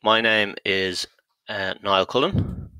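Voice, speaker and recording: Chatty Dublin man, deep dry voice. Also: steady tone, slow pace, pushed and bright, smooth, no room sound. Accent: Dublin Irish. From Ireland. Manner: chatty, frequent ums and restarts. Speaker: genealogy company content strategist presenting a webinar. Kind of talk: live-streamed online talk.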